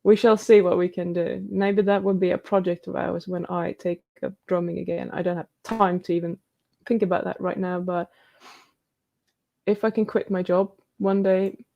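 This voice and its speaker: A woman's voice: on the low side, vocal fry, muffled recording. A woman hosting a live stream, reading out viewer questions and comments and answering them in a chatty, casual way. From New Zealand.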